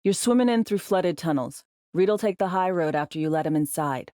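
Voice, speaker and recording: A tough, sardonic spy handler from a cyberpunk video game, acted by a woman. Her voice sits fairly low in a very dry studio sound. She talks in clipped slang, bossy and teasing, like someone used to running operations. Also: expressive, normal speed, mellow, no room sound, lightly acted. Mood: brisk, wry briefing. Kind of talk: video game voice acting, briefings and radio guidance.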